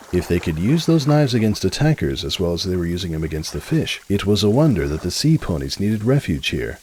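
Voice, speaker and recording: A man's voice: fairly low pitch, darkish tone, husky. A man giving a dramatic storybook narration.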